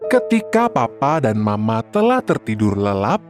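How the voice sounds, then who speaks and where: A storyteller narrating a scripted children's tale in an expressive, deliberate manner. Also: mid-range voice, over music.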